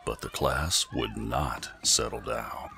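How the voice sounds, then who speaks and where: A man narrating a children's storybook in a measured, dramatic storytelling manner. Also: extremely low pitch, sharp tone. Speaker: audiobook narrator.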